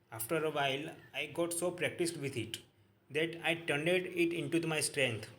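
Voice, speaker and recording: A man reading a book aloud in a halting way, repeating each sentence and correcting his own slips. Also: on the high side, fairly bright tone, slight background noise.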